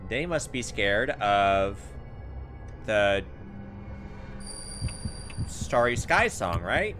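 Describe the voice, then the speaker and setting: A man giving a casual let's play commentary, thinking out loud, reading game text and tossing in exasperated asides. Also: fairly low pitch, lively intonation, drawn-out words. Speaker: gaming video host. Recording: background music behind voice. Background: video game music.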